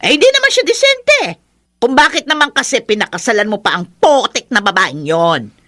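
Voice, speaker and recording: A scornful Filipino mother, berating and insulting. Her voice is bright and forceful, high-set and swinging dramatically, recorded dry and close. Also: very animated, normal speed, no room sound. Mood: scornful, angry. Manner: harsh, insulting, exasperated. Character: scornful nagging mother berating her son over his wife. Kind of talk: Filipino radio drama acting.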